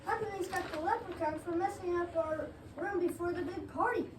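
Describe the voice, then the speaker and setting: A woman's voice: very high voice, fairly quick. A woman reading a children's story aloud in a readers' theater. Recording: echoey room, distant mic, faint noise.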